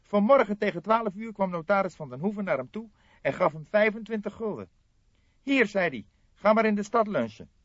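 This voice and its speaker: A man's voice: high voice, lively intonation, a little fast, dry recording, lo-fi sound, voice pushed up. A man voicing an eager young police detective in an audiobook, fierce and insistent, easily irritated.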